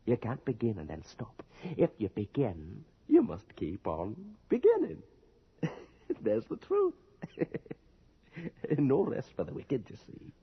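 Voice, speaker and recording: An actor playing a sardonic, domineering Scottish body-snatcher in a radio horror drama, taunting and husky, swinging from hushed to forceful. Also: male voice, lowish voice, wildly swooping pitch, takes it slowly, exaggerated voice. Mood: gleeful menace. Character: menacing, sardonic male medical body-snatcher, cynical and domineering. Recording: muffled lo-fi radio drama recording.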